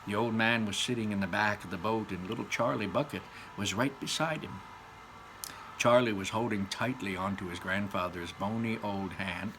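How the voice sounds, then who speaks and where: A man's voice: fairly low pitch, normal speed, noisy background. A man reading a children's story aloud with dramatic emphasis, repeating phrases and dropping in casual asides.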